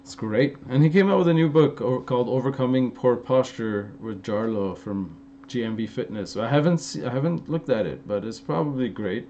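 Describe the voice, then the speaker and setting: A man's voice: medium pitch, fairly quick, few pauses, mellow. A young man from Los Angeles with an Armenian-influenced American accent, answering live chat questions in a loose, rambling way with lots of fillers and restarts. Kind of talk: casual live-stream Q&A. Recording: slightly dull, lo-fi stream audio with faint noise.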